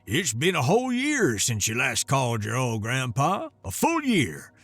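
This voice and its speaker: A man voicing a gruff, sarcastic old grandfather and war veteran with a gravelly voice. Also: medium pitch, wildly swooping pitch, measured pace, a bit bright, no echo, heavily acted. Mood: scolding. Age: older. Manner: sarcastic, scolding.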